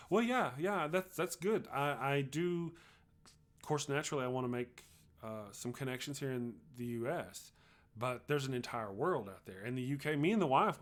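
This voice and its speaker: A middle-aged man with a Texas accent hosts a casual livestream at an ordinary mid pitch. He rambles along in a loose, chatty way, restarting sentences as he talks to viewers. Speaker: livestream host. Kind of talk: casual livestream chat, reading viewer comments.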